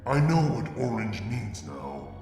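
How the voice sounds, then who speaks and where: A man reading a fan story aloud as a first-person narrator, in a measured, dramatic way. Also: on the low side, big pitch swings, warm tone. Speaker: fanfiction reader. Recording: echoey room with long reverb and faint music.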